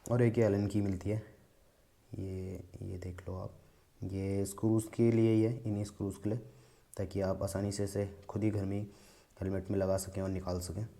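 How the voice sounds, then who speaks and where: A man's voice: low voice, little pitch movement, moderate pace, gravelly, warm tone. A man giving a casual hands-on product demonstration in an informal, step-by-step explanatory manner. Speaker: vlogger reviewing a product.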